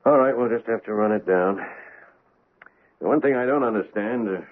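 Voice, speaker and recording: A blunt, cynical police lieutenant who questions with open skepticism, played by a man. His voice sits fairly low. It sounds tinny and narrow, like an old radio broadcast. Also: moderate pace, natural voice. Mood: skeptical. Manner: skeptical, pressing.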